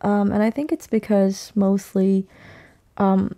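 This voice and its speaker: A woman's voice: medium pitch, level tone, slow, dark tone. A woman singer-songwriter chatting in a casual interview, talking thoughtfully and laughing often.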